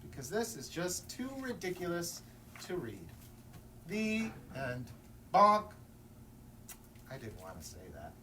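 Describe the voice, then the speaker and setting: A man hosts story time for children with playful, theatrical delivery. His voice is bright and very animated, picked up a little way from the microphone with faint noise behind. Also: on the high side, takes it slowly, long pauses. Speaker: library story time host. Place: public library. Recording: distant mic, faint background noise.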